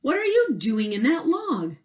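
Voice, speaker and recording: A fussy, easily bored young muskrat from a children's storybook, acted out by a woman. He draws out his words and exclaims them, with pitch that swings widely. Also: wildly swooping pitch, a little slow, darkish tone, heavily acted. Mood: fussy, questioning.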